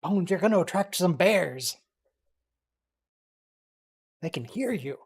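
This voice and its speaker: A man's voice: sits high, animated, slow, breathy edge. A man hosting a casual watch-along stream, tossing joking asides at the screen. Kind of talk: casual chat over a movie.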